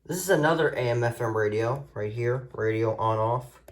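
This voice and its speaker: A man's voice: mid-range voice, normal speed, heavy background noise. A man casually showing gadgets hands-on, talking in a hesitant, rambling way into a phone camera. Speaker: video host showing a radio collection. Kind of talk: casual hands-on show-and-tell. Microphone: phone camera mic, handheld.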